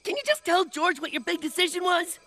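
High-pitched, dim-witted jungle man. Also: sing-song, a little fast, sharp tone, heavily acted. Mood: eager. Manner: speaks of himself in the third person. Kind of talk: animated cartoon acting.

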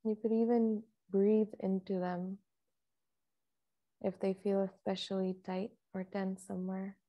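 A woman leading a guided meditation as a teacher, speaking in a calm, measured, softly instructive way with long pauses. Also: mid-range voice, lo-fi sound.

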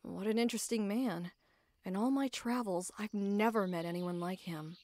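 A woman's voice: mid-range voice, normal speed, a little mellow, slight background noise, lightly acted. A woman playing a tough, proud female knight, guarded about love, speaking earnestly and formally in an anime ASMR roleplay. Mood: wondering, nervous.

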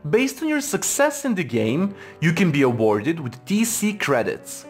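A man reading a scripted instructional narration in a formal, measured manner. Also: a little high, sing-song, soft background music. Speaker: tutorial narrator. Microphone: voice-over for screen recording.

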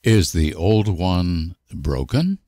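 A man reading short example sentences for language learners with deliberate, careful enunciation. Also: sits low, sing-song, warm tone, no room sound, clean studio sound. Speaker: language teacher.

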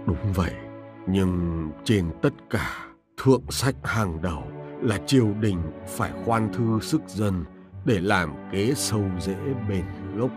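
A wise old grand commander from a cartoon history tale. The voice is very low, solemn and measured, like counsel given to a court, with music clearly behind it. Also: male voice, expressive. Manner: grave, measured, instructive.

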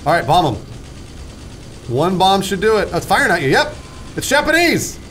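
A man's voice: high-pitched, very animated, normal speed, fairly bright tone, a little hiss or hum. A man in his thirties giving joking back-seat commentary on a video game into a studio microphone. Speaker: gaming show host. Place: indoor studio room. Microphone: close studio microphone on boom arm.

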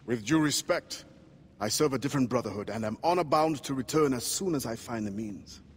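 An actor playing a dignified warrior of a secret brotherhood, speaking formally and with measure in a game cutscene. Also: male voice, medium pitch, lively intonation, fairly quick, slight background noise, close to an everyday voice. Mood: measured, courteous. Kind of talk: video game cutscene acting.

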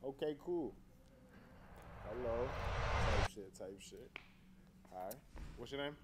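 A man's voice: mid-range voice, animated, takes it slowly, heavy background noise. Playful, teasing man hosting a show. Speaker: dating show host. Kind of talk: casual interview. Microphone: handheld mic.

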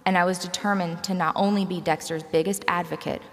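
A woman, giving a measured, prepared commencement speech and telling personal stories from a podium. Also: lowish voice, steady tone. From South Carolina, United States. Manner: measured, scripted personal storytelling. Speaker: graduate student commencement speaker. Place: large auditorium stage. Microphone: podium gooseneck microphone. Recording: reverberant large hall, podium microphone.

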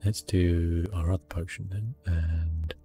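A man giving soft, hushed ASMR gameplay commentary in a relaxed, unhurried manner. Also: sits low, soft background music. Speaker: gaming streamer, host.

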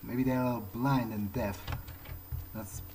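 A man's voice: medium pitch, fairly even intonation, noisy background. A man giving in-character video game commentary, thinking aloud in hesitant, broken phrases. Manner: hesitant, halting musing in short broken phrases. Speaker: let's player speaking in character. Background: video game music.

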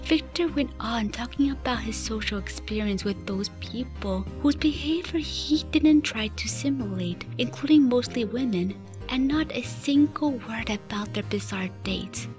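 A woman's voice: on the high side, unhurried, darkish tone, faint music behind. A woman reading scripted story narration as the narrator of a radio play.

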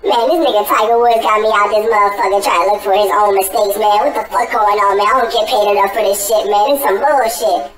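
A man's voice: high-pitched, fairly even intonation, quick pace, fairly bright tone, distant mic, pitched above the performer's own voice. A man voicing a disgruntled, underpaid worker with a slangy grumble in a comedy dub. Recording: echoey, off-mic homemade dub.

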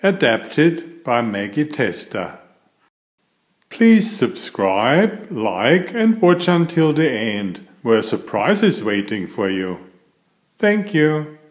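A man reading aloud in a deliberate, expressive children's storytelling style, as a narrator and host. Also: medium pitch. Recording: roomy, echoing room sound.

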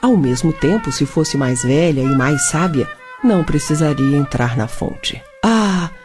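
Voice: mid-range voice, animated, measured pace. Theatrical Brazilian storyteller over music. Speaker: narrator. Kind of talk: storytelling narration in a radio play.

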